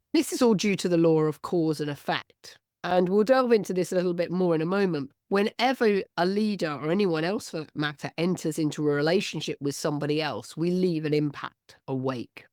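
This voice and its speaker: A woman hosting a business podcast, hoarse from a cold, talking alone in an earnest, explanatory way. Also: low voice, no room sound, studio quality. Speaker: podcast host. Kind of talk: solo business podcast talk.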